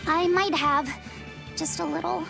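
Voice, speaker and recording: A woman voicing a gentle, studious young boy in dubbed video game dialogue, earnest in manner. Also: very high-pitched, fairly even intonation, unhurried, vocal fry, music in the background, exaggerated voice. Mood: shy, amused.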